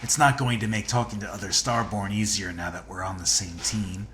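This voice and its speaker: An actor playing a calm, shrewd hunter in a video game, dryly polite but threatening, with a measured, wry delivery. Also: male voice, lowish voice, a little hiss or hum, natural voice. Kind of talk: video game character dialogue.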